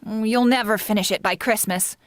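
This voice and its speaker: An actress playing a loyal, confiding housemaid in vintage radio sitcom style. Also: female voice, medium pitch, steady tone, brisk, lightly acted. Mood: conspiratorial, resigned.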